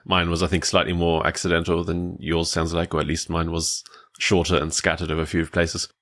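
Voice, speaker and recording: A man's voice: low voice, monotone, brisk, a bit bright. A man hosting a podcast interview, asking questions and sharing his own story, with many fillers and hesitations. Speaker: podcast host. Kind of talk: casual interview talk.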